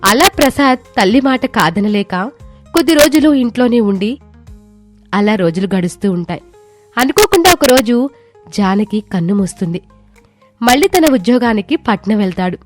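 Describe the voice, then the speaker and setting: A woman's voice: fairly high pitch, lively intonation, moderate pace, pauses often, over music. A woman storyteller reading a scripted moral tale as narration.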